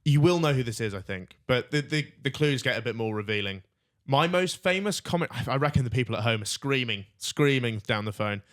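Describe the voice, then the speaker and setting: A man's voice: medium pitch, lively intonation, brisk, few pauses, fairly bright tone. A man co-hosting a casual comedy podcast, joking around and running a quiz game. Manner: jokey, runs a quiz segment. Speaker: podcast co-host.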